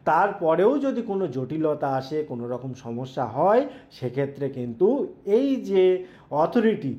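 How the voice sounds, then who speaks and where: A man's voice: medium pitch, darkish tone. A man giving an emphatic, explanatory step-by-step phone tutorial, speaking directly to the viewer. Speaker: tech tutorial YouTube host. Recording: dull, lo-fi voice recording.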